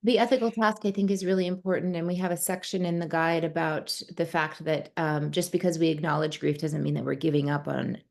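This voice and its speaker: Low gravelly-voiced woman interviewer. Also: steady tone, fairly quick, few pauses, a little mellow. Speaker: host and advisor. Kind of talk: conversational interview.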